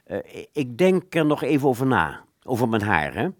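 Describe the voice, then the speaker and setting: A man playing a polite, old-fashioned gentleman in a radio play, formal and hesitant, with small stammers. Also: mid-range voice, sing-song, moderate pace, no echo, clean studio sound. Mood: polite, evasive.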